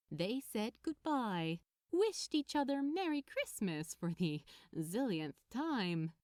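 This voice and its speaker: A woman reading a story aloud as narrator, in an expressive scripted style. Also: mid-range voice, dry recording, studio quality. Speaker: narrator, fan fiction reader.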